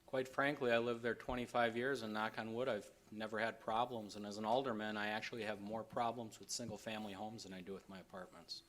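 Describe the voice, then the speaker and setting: A man serving as alderman, answering calmly and matter-of-factly at a city meeting. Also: on the low side, fast, hardly any pauses. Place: council chamber.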